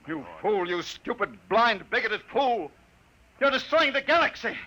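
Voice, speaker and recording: A man's voice: high-pitched, animated, a little slow, bright, forceful tone, full character voice, poor audio. An actor playing a desperate, furious doctor in a 1950s radio drama, impassioned and urgent in his delivery. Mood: furious, raging. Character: desperate, furious male doctor pleading to be believed. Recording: vintage 1950s radio broadcast recording.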